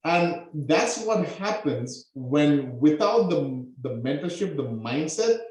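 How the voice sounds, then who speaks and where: A man's voice: fairly high pitch, slow pace. Sri lankan-born guy coaching a live webinar, pumped up, says okay a lot, super echoey room. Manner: energetic, peppers talk with "okay?". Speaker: online business coach.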